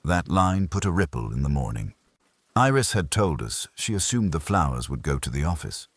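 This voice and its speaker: A man narrating a hardboiled detective story in a dry, clipped, deadpan way. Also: a bass voice, normal speed, slightly rough, no room sound.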